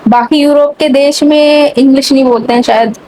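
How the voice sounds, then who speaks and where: A woman's voice: high voice, steady tone, moderate pace, dark tone, a little hiss or hum, rough recording. A young woman vlogger ranting casually to camera in a sarcastic, chatty way, with a mixed English accent she picked up online.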